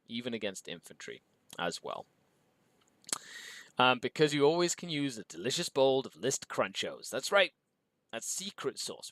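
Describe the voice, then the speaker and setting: A man's voice: mid-range voice, fairly bright tone, no room sound. A man from Mexico with an English accent, hosting a livestream and reading out viewer questions in an upbeat way before answering them. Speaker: show host.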